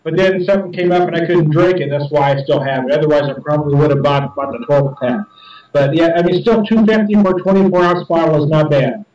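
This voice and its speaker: A high-voiced man talks in a loose, rambling way, stumbling over repeated words as he goes. He sits well back from the mic, and the room gives his voice a clear echo. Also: quick pace, darkish tone, recorded from a distance, slight background noise. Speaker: podcast host reviewing beer. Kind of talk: casual chat.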